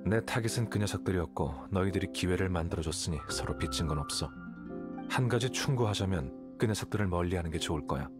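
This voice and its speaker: A man voicing a cool, blunt, calculating young scholar in a video game, with a detached, measured, condescending manner. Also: deep voice, lively intonation. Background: game music.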